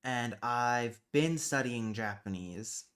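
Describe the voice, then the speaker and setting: A man's voice: medium pitch, takes it slowly, a bit bright, smooth. A man hosting a casual livestream, muttering and thinking aloud as he works, and easily exasperated. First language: British Sign Language. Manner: easily exasperated, swears under breath. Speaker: livestream host.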